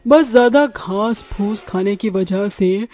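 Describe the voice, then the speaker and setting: A woman voicing a kind female witch ghost in a cartoon, speaking in a drawn-out, soothing way. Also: medium pitch, a little mellow, soft background music, natural voice. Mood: soothing, tender. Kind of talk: Hindi cartoon story voice acting.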